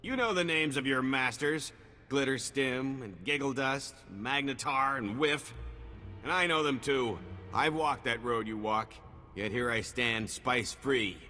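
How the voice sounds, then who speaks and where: Fervent, forceful street preacher. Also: male voice, medium pitch, measured pace. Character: fervent reformed male addict preaching to others. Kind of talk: video game oratory. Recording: long reverb, music underneath.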